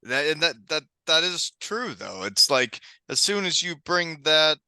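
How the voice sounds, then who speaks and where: A man's voice: on the high side, sing-song, a little slow, pushed and bright, smooth, no room sound, studio quality. A middle-aged man hosting a podcast as a former hockey player, talking casually, candidly and in a rambling way. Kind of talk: casual conversational podcast chat.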